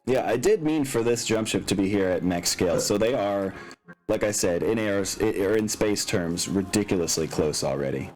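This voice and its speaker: A man's voice: on the low side, lively intonation, normal speed, darkish tone. A man acting as game master, chatting casually through a tabletop session, with apologetic, self-deprecating asides. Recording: music behind the voice. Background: background music.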